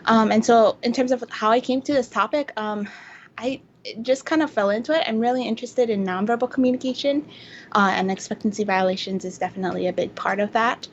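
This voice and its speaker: A woman from Hawaii speaking as a researcher guest, explaining things casually in a halting, thoughtful way on a lo-fi recording. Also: on the high side. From Hawaii, United States. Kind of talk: casual explanatory interview answers. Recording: dull lo-fi sound with faint noise.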